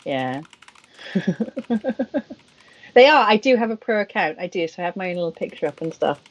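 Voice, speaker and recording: A woman's voice: on the low side, expressive, normal speed. A woman, a professional mahjong player co-hosting a casual stream, chatty and self-deprecating. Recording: dull lo-fi stream audio.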